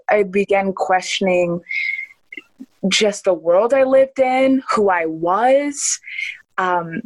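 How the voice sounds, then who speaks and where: A woman's voice: mid-range voice, dry recording. A woman in her early twenties, an interview guest talking earnestly and enthusiastically, laughing often and restarting her sentences. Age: young adult. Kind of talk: casual conversational interview.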